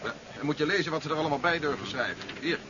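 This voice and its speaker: Man acting embattled general, fast-talking, dry irony, 1970s sci-fi radio drama, dull noisy recording. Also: fairly high pitch, lightly acted. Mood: agitated. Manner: brisk, dryly ironic. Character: embattled, wry army general, dryly sardonic. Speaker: radio play actor.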